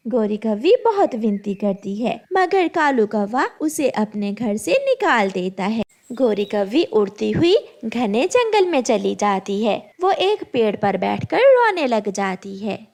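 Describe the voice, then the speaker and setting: High, animated female storyteller. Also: takes it slowly. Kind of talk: scripted children's story narration.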